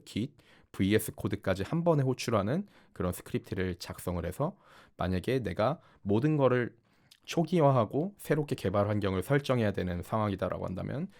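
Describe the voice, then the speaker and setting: Male tutorial instructor: low register, very varied intonation, polite, methodical explanatory delivery. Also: darkish tone. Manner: explanatory, step-by-step, polite formal speech. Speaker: programming instructor. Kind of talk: tutorial narration over screen demonstration.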